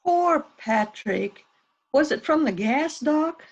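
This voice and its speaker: A woman's voice: high voice, lively intonation, takes it slowly, heavily acted. A woman playing a small, innocent-sounding murder suspect in an amateur mystery reading over dull call audio. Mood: mournful. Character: small, guileless-sounding female murder suspect spinning an alibi. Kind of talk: amateur readers theater mystery.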